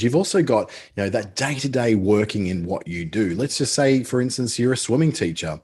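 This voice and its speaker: A man's voice: on the low side, a little mellow, husky. A man with an Australian accent who clips the ends of his words, teaching a live webinar in a chatty, filler-laden way. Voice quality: clipped word endings. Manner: chatty, with frequent ums and uhs. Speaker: webinar presenter.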